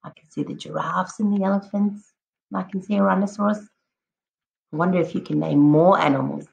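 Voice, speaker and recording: A woman teacher reading a picture book aloud to children, expressively, addressing them directly and encouragingly with drawn-out emphatic words. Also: fairly low pitch, gravelly, darkish tone, a little hiss or hum, poor audio. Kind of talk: expressive children's read-aloud with prompting questions.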